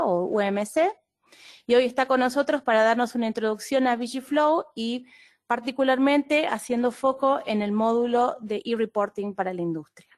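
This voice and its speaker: Argentine lady running a webinar, kinda high voice, friendly and explaining stuff. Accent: Argentine Spanish. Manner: friendly, explanatory, with occasional fillers. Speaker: webinar host and clinical associate. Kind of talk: presenting an online training webinar.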